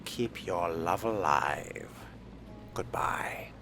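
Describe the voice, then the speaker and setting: Man performing a male vampire fledgling, smug and dry, unhurried muttering, deep voice, game role-play. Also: whispered, music in the background, heavily acted. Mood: calm, kind.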